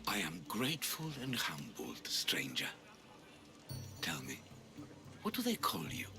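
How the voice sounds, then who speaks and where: Humble old blind beggar, expressive delivery. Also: male voice, sits low, a little slow, music in the background, full character voice. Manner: storytelling, courteous, wistful. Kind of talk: video game cutscene acting.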